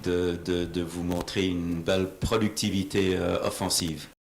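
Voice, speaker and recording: A man interpreting interview answers in a halting way, often searching for his words. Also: sits low, flat delivery, creaky. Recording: echoey room with faint noise.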